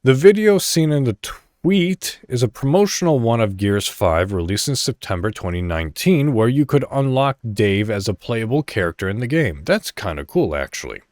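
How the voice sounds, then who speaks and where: A man's voice: mid-range voice, very animated, moderate pace, few pauses, darkish tone, dry recording, studio quality. A man hosting a podcast, reading articles aloud with casual commentary, occasionally stumbling over words and correcting himself. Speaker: podcast host.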